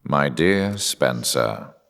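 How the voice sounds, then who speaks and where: An extremely deep, creaky villain in a video game, cold and arrogant. He speaks slowly and deliberately, with smug, mocking menace and theatrical swings in intonation. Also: lively intonation, fairly bright tone, exaggerated voice. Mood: grandiose. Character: cold, arrogant male villain, superhuman schemer with sinister calm.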